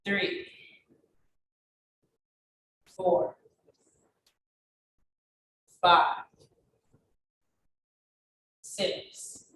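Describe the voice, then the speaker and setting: A woman instructor leading a chair stretching class with slow, encouraging counts, a little stuffy from a cold. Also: on the low side, little pitch movement, fairly bright tone. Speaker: fitness instructor. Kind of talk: guided exercise class with counting. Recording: very echoey, distant, faint noise.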